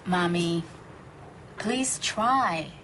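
A caring, coaxing daughter. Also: female voice, lowish voice, extremely slow, a bit bright, slight background noise. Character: adult daughter, caring and pleading.

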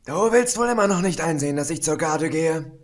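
Casting: a man playing a cocky tomboy pony mare, raised pitch, storybook reading. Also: lively intonation, fairly quick, natural voice. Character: brash tomboyish young mare pegasus.

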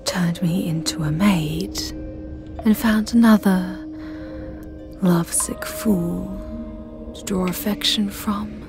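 A woman playing a sinister, jealous living porcelain doll maid in a dramatic ASMR roleplay, speaking in a breathy whisper with a menacing, savoring delivery. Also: mid-range voice, fairly even intonation. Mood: wistful, sinister. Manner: slow, menacing, theatrical, savoring phrases. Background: music.

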